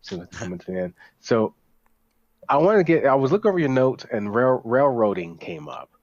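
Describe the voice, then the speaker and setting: A man chatting casually as a podcast guest, eager and halting, often repeating himself. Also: medium pitch, big pitch swings, dry recording. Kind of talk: casual chat. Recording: dull, lo-fi dry microphone.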